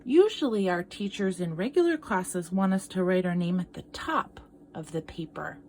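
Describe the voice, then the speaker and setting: A woman art teacher reading a picture book aloud to children, expressively, pausing for asides and questions to young listeners. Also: on the low side, darkish tone, a little hiss or hum.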